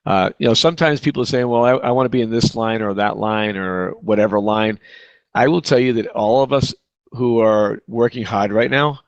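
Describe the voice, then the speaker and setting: A man's voice: on the low side, normal speed, dry recording, dull audio. A man with a Boston accent, a real estate agent speaking earnestly at a conference session, repeating words for emphasis. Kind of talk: conference stage talk.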